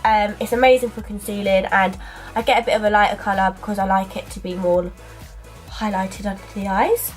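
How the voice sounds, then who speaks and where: A woman's voice: medium pitch, fairly even intonation, a little fast, faint music behind. A young woman in her twenties with a stuffy cold, chatting casually through a makeup tutorial in a small dressing area, recorded by a camera in front of her. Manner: chatty, filler-heavy, talks while applying makeup. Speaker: beauty vlogger. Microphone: camera in front at arm's length.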